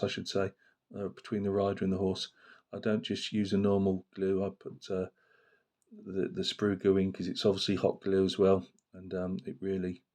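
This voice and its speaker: A man with a very low voice, hoarse from a cold. He talks about his hobby in a loose, rambling way, full of fillers and restarts. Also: mellow. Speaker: miniature painting channel host. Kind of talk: casual hobby talk to camera.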